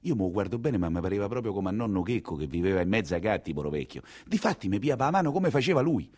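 Casting: male actor-narrator, Roman accent, expressive stage monologue, wide-swinging intonation, close dry sound. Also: on the low side, fast, no echo. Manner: theatrical, expressive. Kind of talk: dramatic storytelling in dialect.

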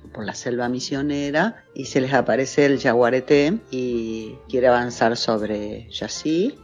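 A guest slowly retelling a legend, pausing now and then to recall it. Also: mid-range voice. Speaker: guest storyteller. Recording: dull lo-fi sound with faint music.

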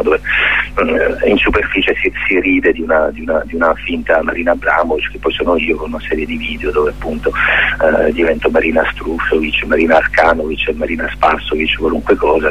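A middle-aged man, talking casually and humorously in a rambling, self-ironic way as a phone-interview guest on the radio. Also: rumbling low. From Abruzzo, Italy. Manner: rambling, self-ironic, repeats words while searching. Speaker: guest, stage actor and solo performer. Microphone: telephone. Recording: muffled, husky telephone line on radio.

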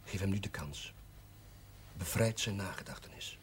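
An actor playing a kindly, persuasive village chaplain in a 1970s television drama, speaking in a measured, earnest way. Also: male voice, sits low, close to an everyday voice. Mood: solemn, pleading. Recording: noisy 1970s television soundtrack.

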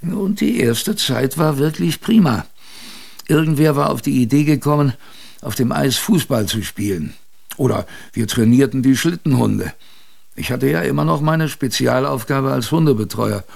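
A man playing an older adventurer who recalls his youth in a creaky voice, with wistful, conversational radio drama narration. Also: mid-range voice, darkish tone. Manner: conversational, wistful, reflective storytelling.

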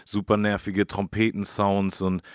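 A man's voice: fairly low pitch, completely flat delivery, measured pace, very noisy. A man co-hosting a music history talk, explaining casually and colloquially and checking in with tag questions. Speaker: co-host of a hip-hop history radio feature.

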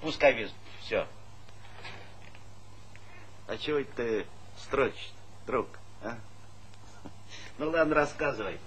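Wry male farm boss, brisk, authoritative, forceful bright voice, Soviet stage comedy, noisy old recording. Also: medium pitch, expressive. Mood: wry, businesslike.

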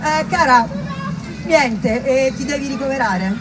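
A woman's voice: high voice, unhurried, loud music behind the voice, a loud crowd. A woman playing an evasive male gynecologist in a theatrical monologue, hesitant and falsely reassuring. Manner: hesitant, hedging, colloquial.

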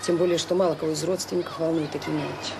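Female orphanage director for Soviet radio drama: quick, lowish voice, courteous on the surface, pointedly ironic underneath. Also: fairly even intonation, gravelly, very noisy. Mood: politely ironic. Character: dutiful orphanage director, dryly ironic, firm with relatives. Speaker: actress in an audio drama.

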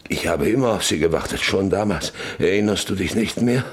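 A haunted older husband in a radio play, with a very deep, husky voice. He broods in halting phrases, wistful and at times close to a whisper. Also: animated. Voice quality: husky, gravelly. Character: haunted, wistful old husband waiting, remembering. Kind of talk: radio drama monologue.

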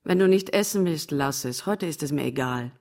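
An actress in a radio drama playing a bitter, proud, long-suffering wife, reproachful and theatrical. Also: female voice, low-pitched, expressive, normal speed, heavy background noise. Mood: weary, defiant. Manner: reproachful, theatrical, emotional monologues. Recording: studio radio play over music.

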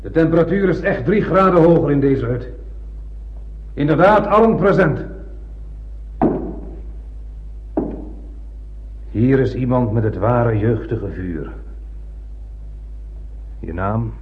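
An actor playing a commanding, eccentric spaceship captain in a radio play, theatrical and deliberate, as if forever testing his crew. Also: mid-range voice, animated, lightly acted. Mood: brisk, commanding. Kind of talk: radio drama acting. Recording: muffled old radio play.

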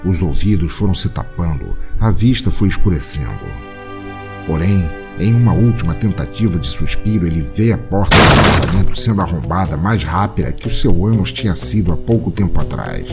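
Low-voiced Brazilian man narrating theatrically. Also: big pitch swings, moderate pace, gravelly. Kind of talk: dramatic scripted narration of a comic audio drama. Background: music.

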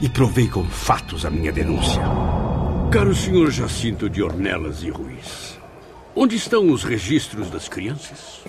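A cruel, lovesick nobleman in a radio play. His gravelly voice swings widely in pitch, impassioned and menacing, with music behind it. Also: huge pitch swings, measured pace, heavily acted. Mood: furious. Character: obsessed, vengeful, cruel Spanish nobleman in love. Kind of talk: radio drama acting.

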